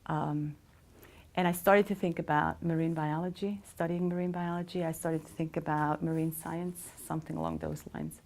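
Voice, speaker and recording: Middle-aged woman, interview guest, reflective, deep gravelly tone. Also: steady tone, moderate pace, darkish tone. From Switzerland. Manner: reflective, many hesitations. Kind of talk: casual storytelling.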